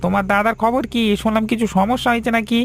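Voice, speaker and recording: Kindly neighbour, very high-pitched. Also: male voice, steady tone, fast talker, gravelly, a bit bright, heavily acted. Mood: gentle, concerned. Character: kindly, attentive village neighbour man. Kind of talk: Bengali cartoon drama acting.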